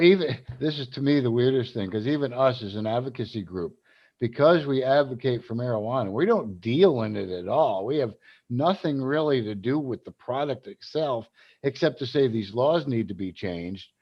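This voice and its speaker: A man's voice: medium pitch, animated, moderate pace, muffled recording. A man from South Carolina, hosting a casual advocacy livestream, rambling with many fillers and restarts. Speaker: cannabis advocacy group host.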